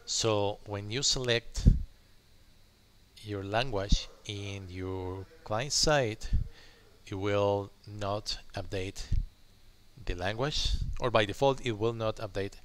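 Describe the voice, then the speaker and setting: A man explaining software work informally as he thinks aloud, pausing mid-sentence and often checking with a short tag question. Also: on the low side, clear, resonant tone. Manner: halting, pauses mid-sentence, checks with "right?". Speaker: software developer, tutorial host. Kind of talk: informal live coding walkthrough, thinking aloud. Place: indoors at a computer, screen recording voice-over. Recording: faint background noise.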